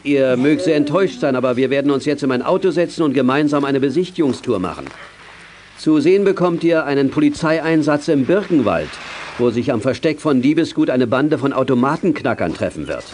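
An actor playing a kindly, jovial grown-up who helps child detectives in a 1980s children's audio drama. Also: male voice, medium pitch, lively intonation, a little fast, darkish tone, slight background noise, lightly acted. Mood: kindly, explaining. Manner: jovial, avuncular.

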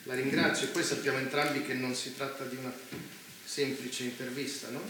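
Actor as a bright-voiced, urbane tyrant in echo. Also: male voice, mid-range voice, level tone, fairly quick, lightly acted. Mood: probing, insistent. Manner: polite but domineering, precise, abruptly probing. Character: urbane, evasive powerful man behind a mass killing. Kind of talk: naturalistic theatre dialogue. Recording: very echoey room, faint noise.

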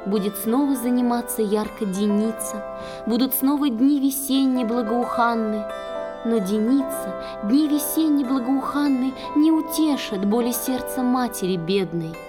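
A woman performing in a children's audio play, reciting verse lyrically and expressively with drawn-out phrases. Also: a little high, music in the background, close to an everyday voice.

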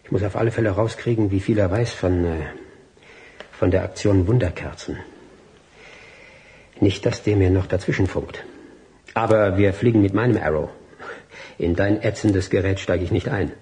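A man playing a wary, brusque plotter with a creaky voice and a blunt, dismissive manner in a radio drama. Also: deep voice, normal speed, long pauses, warm tone, a little hiss or hum. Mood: wary, scornful. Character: brusque, wary man planning covert business. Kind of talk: German radio drama acting.

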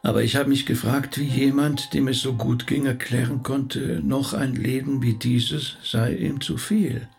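An actor playing a thoughtful, courteous middle-aged rabbi in a radio play, with a gravelly, husky voice and a hesitant way of talking. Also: male voice, mid-range voice, steady tone, a little slow, few pauses, soft background music, full character voice. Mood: reflective. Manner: reflective, hesitant, polite.

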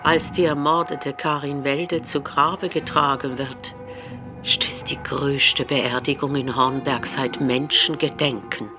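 A man's voice: mid-range voice, steady tone, slow, over music. A man reading scripted present-tense narration for a radio feature. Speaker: second narrator.

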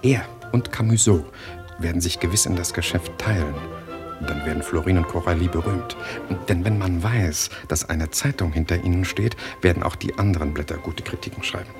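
A very deep-voiced, worldly Parisian journalist in a radio play. He is glib, cynical and persuasive, a mocking schemer, with music playing clearly behind him. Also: brisk. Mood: cynical, brisk. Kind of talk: German radio play acting.

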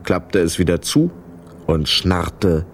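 A man's voice: low-pitched, sing-song, slow, slight background noise. A man narrating a children's radio play as a scripted storyteller.

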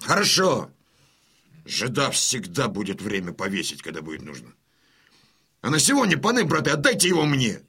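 An actor playing a stern, gruff Cossack colonel with a husky voice, giving orders in a dramatised audiobook. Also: male voice, mid-range voice, huge pitch swings, moderate pace, pauses often, sharp tone, exaggerated voice. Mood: stern command.

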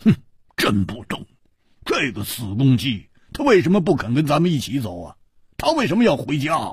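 Storybook role: blunt, excitable hard-drinking wanderer played by a male narrator, very husky, wide swings in pitch. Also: unhurried, no echo, clean studio sound, heavily acted. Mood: gruff complaint. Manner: sighing, muttering, full of interjections. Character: hearty, hard-drinking adventurer man, blunt, sentimental, excitable. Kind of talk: audiobook character voice.

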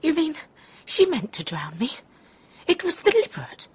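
A woman's voice: sits high, wildly swooping pitch, moderate pace. An actress playing a frank, anxious young British woman in a radio drama. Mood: shocked. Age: young adult.

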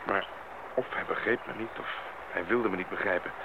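An actor playing an earnest, observant expedition member in an old radio drama, explaining things reflectively on a noisy recording. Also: male voice, sits low, a little fast, lo-fi sound. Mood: puzzled, reflective.

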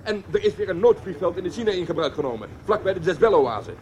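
An actor in a radio drama playing an urgent, commanding wartime intelligence chief. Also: male voice, sits high, very animated, fast, darkish tone, a little hiss or hum. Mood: grave, urgent.

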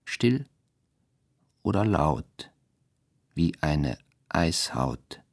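A man reciting experimental poetry in a radio play, in halting, fragmented, stylised phrases. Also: rumbling low, sing-song.

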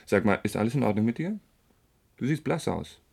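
An actor playing a concerned, gently impatient companion in a radio play, speaking in a familiar, questioning way. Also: male voice, fairly low pitch, animated, fairly quick, vocal fry, slightly rough, full character voice. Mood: concerned, probing.

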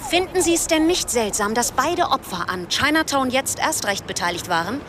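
An actress playing a pushy, insistent female TV news reporter on location in a radio drama, brisk and professional. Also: sits high, expressive. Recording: clear city traffic and siren noise. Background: street ambience, vehicles, sirens.

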